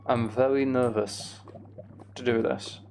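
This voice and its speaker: Guy chatting through a game, lots of vocal fry, kinda self-deprecating, music playing behind. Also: medium pitch, steady tone, slow, darkish tone. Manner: self-deprecating, musing aloud. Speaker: gaming video host. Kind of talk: casual gameplay commentary.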